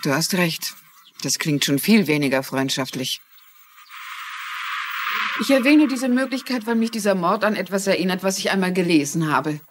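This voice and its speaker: A woman's voice: lowish voice, animated, moderate pace, pauses often, a little hiss or hum, close to an everyday voice. An actress playing a courteous, inquisitive older woman amateur detective in a dubbed TV crime drama. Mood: probing. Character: shrewd, polite older female amateur sleuth.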